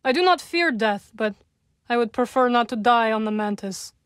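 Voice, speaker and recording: An actress as a young witch from a video game, guarded and solemn with a dry wit. Her voice sits fairly high and is recorded close and dry. She phrases things formally and with measured care. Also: expressive, clear, resonant tone, no echo, natural voice. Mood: dryly wry. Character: young adult witch, solemn, guarded, dryly wry rebel. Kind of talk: video game character dialogue.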